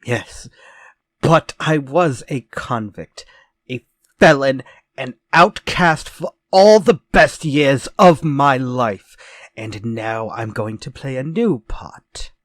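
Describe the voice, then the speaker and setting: Sinister killer out for revenge, old radio drama, slow n theatrical, dry close sound. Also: male voice, mid-range voice, expressive, a bit bright, dry recording. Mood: grim, theatrical. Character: sinister vengeful ex-convict murderer visiting a stuck writer. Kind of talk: retro radio drama acting.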